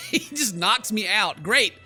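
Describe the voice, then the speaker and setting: A man's voice: high voice, sharp tone. A man hosting a horror game playthrough, reading text aloud and reacting with theatrical, sarcastic, exclamatory commentary. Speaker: gaming video host.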